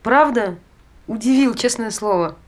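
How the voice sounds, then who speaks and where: A woman's voice: fairly high pitch, expressive, a little slow. An actress playing a blunt, restless, sarcastic ordinary mother in a naturalistic stage drama. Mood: irritated, sardonic. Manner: blunt, sardonic, conversational.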